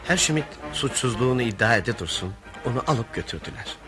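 Animated wry storyteller played by a man, music behind. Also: mid-range voice, fairly quick, close to an everyday voice. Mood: wry narrating. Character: wry male storyteller recounting his friend's misadventure. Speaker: narrator in a radio play. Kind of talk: radio theatre storytelling.

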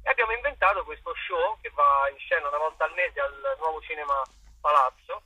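A man's voice: fairly high pitch, fairly quick, breathy edge, dry recording, lo-fi sound. A man answering interview questions conversationally as an actor and playwright, hesitant and searching for words, often repeating himself.

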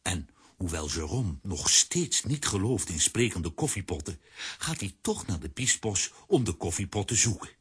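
A man's voice: deep voice, big pitch swings, moderate pace, fairly bright tone, husky, full character voice. A man narrating a scripted children's adventure story as the storyteller of a radio play.

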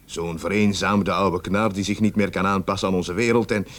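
An actor playing a gruff, weary, sceptical police sergeant in a radio play, grumbling bluntly in colloquial speech. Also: male voice, sits low, fairly even intonation, normal speed, a bit bright. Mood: weary, pondering. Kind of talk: Dutch-language radio drama dialogue.

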